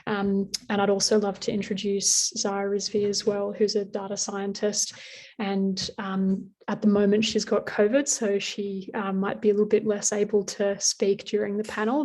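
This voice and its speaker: Monotone speaker on a panel. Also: female voice, medium pitch, moderate pace. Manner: friendly, hesitant, many ums. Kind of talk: panel introduction.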